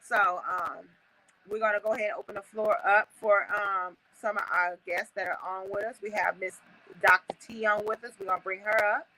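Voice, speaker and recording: A woman's voice: medium pitch, fairly even intonation, a little fast, sharp tone, poor audio. A woman hosting a casual livestream on her phone, talking in a folksy way with many fillers and restarts. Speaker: host.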